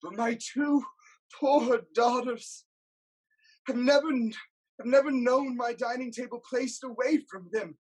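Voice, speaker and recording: A woman's voice: a little high, slow pace, a little mellow, slightly rough, rough recording. A woman playing an anguished, self-loathing blinded king in a declamatory, lamenting style of Greek tragedy. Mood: somber pleading. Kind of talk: theatrical Greek tragedy acting.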